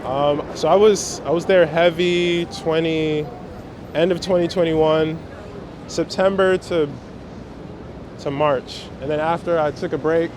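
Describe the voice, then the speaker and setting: A man's voice: on the high side, fairly even intonation, unhurried. Slangy New man, noisy street. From Brooklyn, New York. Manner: slangy, conversational. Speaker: street photographer being interviewed. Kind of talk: casual chat. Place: outdoors on city streets. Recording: clear outdoor city traffic noise.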